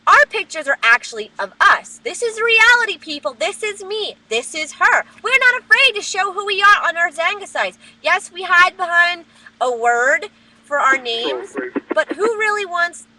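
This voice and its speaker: Loud, high-pitched woman ranting. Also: lively intonation, normal speed, low-quality recording. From New Jersey. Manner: loud, profane, heated ranting. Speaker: vlogger. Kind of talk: webcam rant. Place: at work. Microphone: webcam.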